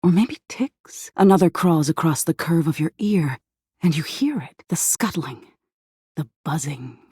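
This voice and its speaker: Dark, breathy, teasing nightmare spirit. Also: female voice, sits low, expressive, no room sound, studio quality, exaggerated voice. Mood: menacing, creeping. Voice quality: breathy, husky. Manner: slow, drawn-out, playful menace, slipping into breathy whispers. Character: sinister, teasing female living nightmare, seductively menacing. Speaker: video game character voice actor. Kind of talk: theatrical video game voice acting.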